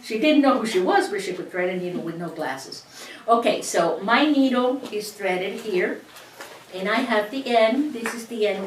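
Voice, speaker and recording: A woman's voice: a little high, distant mic. A middle-aged woman with a Cuban accent walks through a sewing demonstration, chatty and prone to restarting her sentences. She sits back from the microphone in an echoey room, with a faint hum of ambience behind her. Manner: chatty, explains step by step with many restarts. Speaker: sewing instructor. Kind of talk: hands-on teaching demonstration.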